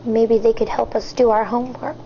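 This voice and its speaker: An American woman in her thirties, a teacher reading a picture book aloud to children in an expressive, deliberate way. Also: on the high side, muffled recording, slight background noise. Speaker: elementary school teacher.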